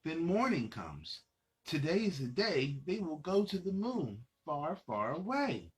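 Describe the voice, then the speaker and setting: Male kids' storyteller, higher-pitched and very animated, playful and dramatic delivery. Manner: playful, dramatic, pauses to ask young listeners questions. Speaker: children's storybook reader and host. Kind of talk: animated read-aloud storytelling for children.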